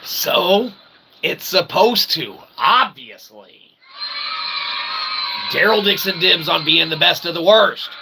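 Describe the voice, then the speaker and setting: Male comic host, mock-earnest and rambling, swooping high pitch with halting delivery, noisy background. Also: expressive, gravelly, crowd noise. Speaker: comedy show host and author.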